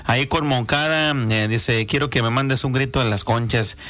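A man with a Mexican Spanish accent hosting a radio show, hoarse-voiced, chatty and folksy as he reads out listener greetings. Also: mid-range voice, normal speed, sharp tone. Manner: chatty, folksy, many fillers. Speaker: radio host. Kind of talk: casual radio chat reading listener greetings.

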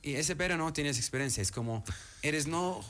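A man with an Arabic accent, a guest in a casual interview, speaking in halting non-native phrasing with frequent pauses. His first language is Arabic. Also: a little high, lively intonation, fairly bright tone, a little hiss or hum.

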